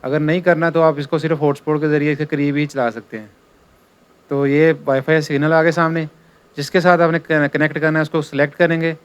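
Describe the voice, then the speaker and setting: A man with a clear, smooth voice talks through an informal how-to. He goes step by step like a working technician showing a job, and repeats his instructions as he goes. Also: a little high, steady tone, brisk. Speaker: electrician giving installation tutorial. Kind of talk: informal hands-on tutorial narration.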